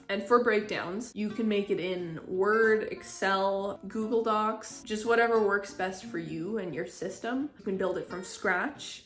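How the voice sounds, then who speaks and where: Young woman instructor, roomy sound. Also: medium pitch. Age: young adult. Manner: conversational, explanatory, informal. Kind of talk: casual instructional video talk. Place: living room, indoors. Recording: roomy indoor echo, music behind.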